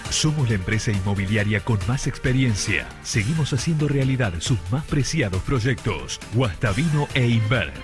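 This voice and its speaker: Un hombre con acento argentino que lee una publicidad con guion como locutor. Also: male voice, mid-range voice, lively intonation, moderate pace, few pauses. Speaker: advertisement announcer. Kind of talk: scripted commercial narration. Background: music bed.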